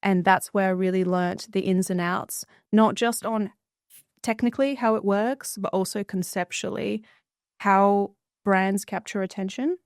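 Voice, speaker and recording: A woman speaks in a mid-range, smooth voice. She tells her story fluently and with poise, as a podcast guest. The recording is close and dry. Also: mid-range voice, fairly even intonation, a little slow, dry recording. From Bulgaria, raised in Australia. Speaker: guest entrepreneur. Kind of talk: podcast interview.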